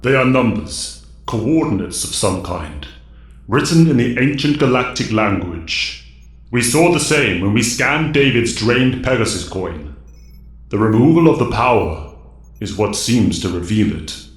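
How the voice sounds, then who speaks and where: An actor playing a grave, wise mentor of heroes in an audio drama. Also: male voice, on the low side, expressive, unhurried. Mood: explanatory. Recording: echoing, roomy sound.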